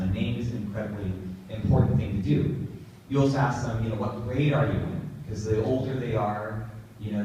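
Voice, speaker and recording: A man's voice: mid-range voice, darkish tone, a little hiss or hum. Young guy in his 20s chatting to a school crowd, lots of ums, far from the mic, big echo. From California. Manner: casual, conversational, many fillers and restarts. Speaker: guest speaker. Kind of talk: school talk to middle schoolers. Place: large echoey school room. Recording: echoey room from far off.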